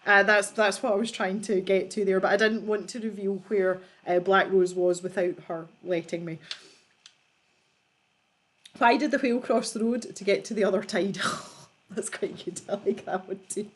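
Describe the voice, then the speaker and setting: A woman's voice: mid-range voice, fairly even intonation, moderate pace. A woman hosting a casual livestream, reading out viewer jokes and laughing often as she chats. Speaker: livestream host.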